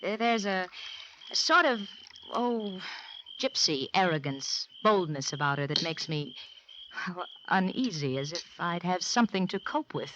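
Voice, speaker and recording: Rich older wife, genteel but wary, low-ish n expressive, noisy 40s radio drama. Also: female voice, measured pace. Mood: uneasy, suspicious. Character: wealthy older wife, genteel and uneasily suspicious. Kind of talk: 1940s radio drama acting. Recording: muffled, noisy, crackly 1940s radio recording.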